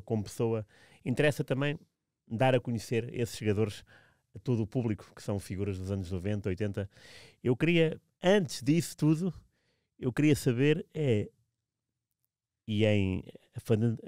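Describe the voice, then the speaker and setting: A man with a European Portuguese accent co-hosting a casual interview, meandering and hesitant with drawn-out pauses. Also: lowish voice, mellow, no echo, clean studio sound. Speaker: co-host interviewer.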